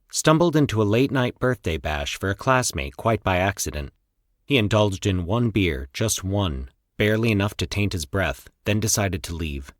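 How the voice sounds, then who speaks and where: A man narrating scripted horror stories as a podcast host, in a theatrical, measured storyteller's manner. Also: sits low, no echo, clean studio sound.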